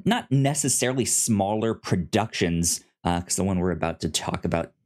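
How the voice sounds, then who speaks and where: A man hosting a casual review podcast, informal and halting, stammering over the starts of words. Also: low-pitched, animated, darkish tone. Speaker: podcast host.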